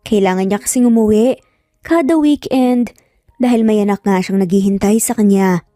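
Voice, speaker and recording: A woman's voice: mid-range voice, moderate pace, mellow, dry recording, clean studio sound, soft background music. A woman with a Filipino accent telling a story in scripted, dramatic narration.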